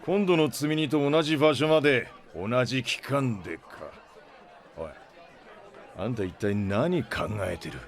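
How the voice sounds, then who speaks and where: A male actor playing a gruff, blustery middle-aged starship captain in a Japanese audio drama, with rough, brusque, slangy speech. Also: mid-range voice, lively intonation, a little slow, some chatter behind. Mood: grudging, urgent. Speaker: ship captain.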